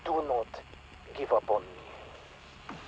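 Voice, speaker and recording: A slow, earnest Russian radio operator. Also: male voice, mid-range voice, lively intonation, darkish tone. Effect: radio transmission. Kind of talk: video game audio log. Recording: muffled radio transmission with static.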